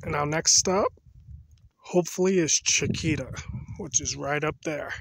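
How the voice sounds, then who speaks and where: A man's voice: fairly high pitch, vocal fry. A man narrating his hike to the camera in a chatty, casual way, a little shaky as he climbs, recorded outdoors on a rocky mountaintop with a handheld camera. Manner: chatty, unhurried, talks to the camera while climbing. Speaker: hiker filming his climb. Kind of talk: casual trail vlog narration. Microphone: handheld camera on a pole. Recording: loud wind noise on an outdoor camera mic.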